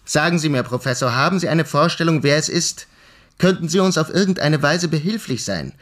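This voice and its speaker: An actor playing a reserved physicist turned investigator in a German radio play, asking questions in a restrained, polite way. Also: male voice, on the high side, animated, fairly quick, a bit bright. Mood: earnest, probing.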